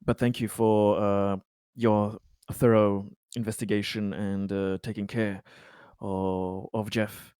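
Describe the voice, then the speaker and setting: A man playing a tabletop roleplaying game, improvising conversation in character with a polite, formal and halting manner. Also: on the low side, little pitch movement, a little mellow, clear, resonant tone, no room sound, clean studio sound.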